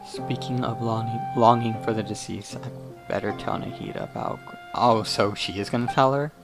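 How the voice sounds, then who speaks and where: A man's voice: mid-range voice, steady tone, normal speed. A man giving casual let's play commentary, musing aloud and sometimes trailing off mid-thought. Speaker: gaming YouTuber. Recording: game music clearly behind the voice.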